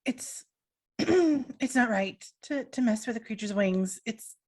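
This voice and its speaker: A woman's voice: a little high, lively intonation, slow, a little mellow. A woman playing a character in a tabletop game, speaking in an earnest, protective way. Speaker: player.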